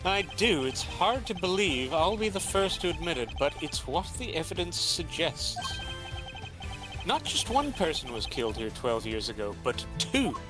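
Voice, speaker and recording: A man voicing a composed male prosecutor in a fan dub of a game, building dramatic reveals in a measured way. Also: fairly high pitch, big pitch swings, poor audio. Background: game music.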